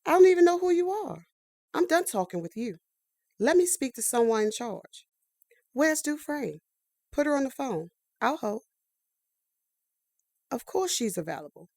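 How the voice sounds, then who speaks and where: An indignant, driven woman in a sci-fi audio drama. Her high voice swings widely as she makes clipped, insistent demands, with some creak at the edges. She is easily exasperated. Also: darkish tone, no echo, studio quality, exaggerated voice.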